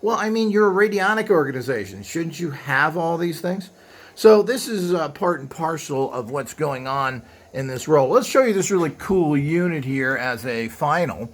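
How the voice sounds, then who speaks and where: A man's voice: a little high, lively intonation, moderate pace. A man presenting equipment in a casual, unscripted show-and-tell, with a blunt, griping, opinionated way of talking. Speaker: presenter demonstrating vintage equipment.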